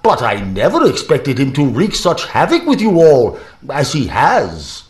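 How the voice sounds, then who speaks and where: A man's voice: mid-range voice, huge pitch swings, a little slow. Pompous professor played by a man, wildly emphatic. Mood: apologetic, formal. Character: exasperated, gallant, pompous male professor. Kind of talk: audio drama acting.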